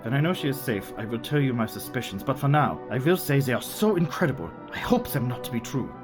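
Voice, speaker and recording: A man's voice: medium pitch, sing-song, fast, lightly acted. Man acting a courteous old foreign professor over music. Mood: confiding. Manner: formal, inverted foreign phrasing. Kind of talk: radio play acting.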